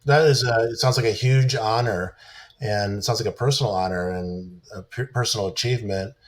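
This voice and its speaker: A man's voice: fairly low pitch, brisk, a little hiss or hum. A man responding to a guest and asking questions as a podcast interviewer.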